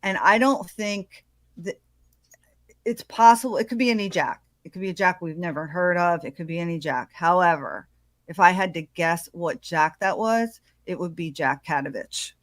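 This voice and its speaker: A woman talks through a true crime case in a casual, halting way, filling her pauses with ums and uhs. Her voice sits on the low side. The sound is dry, with no room echo. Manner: hesitant, full of ums and uhs. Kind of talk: casual video commentary.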